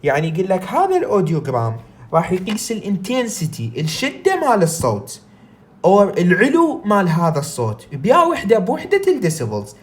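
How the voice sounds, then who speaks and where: A woman with an Iraqi Arabic accent giving a recorded lecture. She reads English text slowly, then explains it in Arabic, often asking and answering her own questions. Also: mid-range voice.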